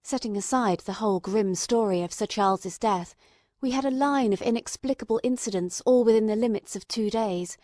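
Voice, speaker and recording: A woman's voice: mid-range voice, normal speed, dark tone, no room sound. Woman narrating, dry close sound. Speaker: audiobook narrator. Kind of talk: scripted narration of a classic detective story.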